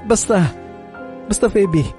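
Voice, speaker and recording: A man's voice: high-pitched, a little slow, music in the background. An actor playing an evasive married man in a Filipino radio drama, defensive, placating and emotional with his lover. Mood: soothing, earnest.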